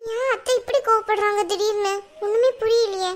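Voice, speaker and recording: A woman's voice: very high voice, fairly even intonation, normal speed. A woman playing a meek pleading daughter-in-law. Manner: pleading, respectful.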